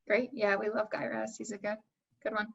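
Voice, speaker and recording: A woman's voice: medium pitch, flat delivery, normal speed, vocal fry, a bit bright. A woman casually moderating a panel and putting questions to guests over a lo-fi video call. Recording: dull, lo-fi video call audio.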